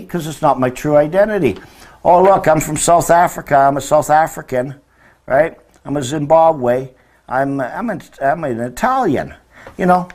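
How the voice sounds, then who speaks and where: A man's voice: on the high side, a little mellow. Didactic older man giving an informal lecture. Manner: didactic, emphatic, poses rhetorical questions. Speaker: religious teacher. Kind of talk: informal lecture explaining word meanings. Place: indoor room beside a presentation screen.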